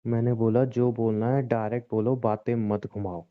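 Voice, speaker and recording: An actor playing a young, wounded, estranged husband in a relationship drama, speaking in a curt, confrontational way and repeating himself. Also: male voice, mid-range voice, level tone, moderate pace, close to an everyday voice. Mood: irritated, curt. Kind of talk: Hindi audio relationship drama, naturalistic dialogue. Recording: dull lo-fi recording.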